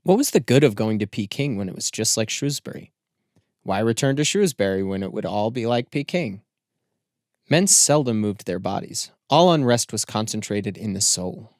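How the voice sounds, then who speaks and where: A man narrates a literary audiobook in a fairly low voice. His phrasing is measured and deliberate. The sound is dry, with no room echo. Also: animated, a little mellow.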